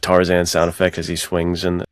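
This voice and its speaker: Man, very deep voice, casual co-host banter, enthusiastic bro slang, dry close sound. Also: monotone, moderate pace, no room sound. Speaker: podcast co-host. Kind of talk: casual chat about spy films.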